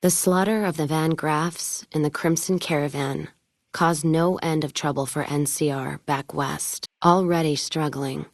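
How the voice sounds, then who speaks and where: Low-voiced, tough wasteland caravan woman. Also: steady tone, a little slow, no echo, studio quality. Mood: solemn, reflective. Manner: blunt, sardonic, hard-bitten. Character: tough, foul-mouthed, whiskey-drinking woman caravan owner of the wasteland. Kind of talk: video game character voice lines.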